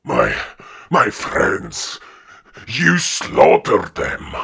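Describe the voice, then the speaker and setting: A man playing a bloodthirsty, vengeful giant warrior in a fantasy audio drama, with a deep, raspy growl. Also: wildly swooping pitch, very slow, fairly bright tone, exaggerated voice. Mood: shocked, angry.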